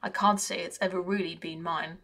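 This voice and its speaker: A woman voicing a solemn, heavy-voiced male figure of death who speaks with slow, puzzled formality. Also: on the low side, fairly even intonation, fairly bright tone, distant mic.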